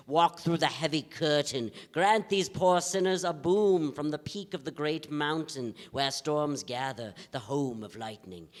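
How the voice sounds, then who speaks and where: A man's voice: medium pitch, a bit bright, lightly acted. A man in a staged play reading, playing a solemn, grandiose psychic who speaks in a formal, portentous way. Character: solemn, grandiose self-styled psychic medium named Joe. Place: theatre stage. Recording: reverberant theatre hall recording.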